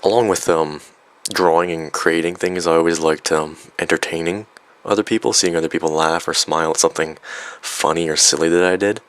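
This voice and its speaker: Young guy, low voice, kinda raspy from a cold, rambling and joking at himself. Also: steady tone, fairly quick. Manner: rambling, filler-heavy, self-mocking. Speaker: video creator. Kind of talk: unscripted vlog.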